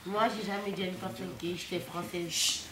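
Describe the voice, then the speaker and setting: A woman's voice: on the low side, little pitch movement, normal speed. A teenage girl in a casual classroom debate, speaking plainly and matter-of-factly, in an echoey room with background noise. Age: teens. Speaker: student in a group discussion.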